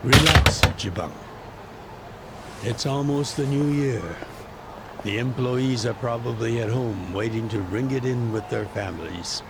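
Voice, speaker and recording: An actor voicing a calm, fatherly middle-aged yakuza boss, measured and gently admonishing, in an English-dubbed game. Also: medium pitch, vocal fry, very noisy.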